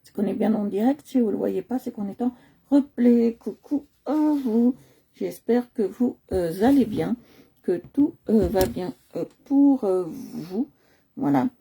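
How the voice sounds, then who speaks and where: A woman from Nice hosts a casual live coloring stream from home on a computer. Her voice is very dark and mellow with a husky edge, and she rambles along with plenty of fillers and restarts. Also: mid-range voice, animated, unhurried. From Nice, France. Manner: chatty, many hesitations and fillers.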